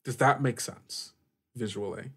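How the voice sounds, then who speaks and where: A man's voice: mid-range voice, creaky, fairly bright tone, breathy edge. A man narrating an improvised tabletop game as game master, feeling his way through descriptions with frequent "like". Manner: halting, searching descriptions with frequent "like".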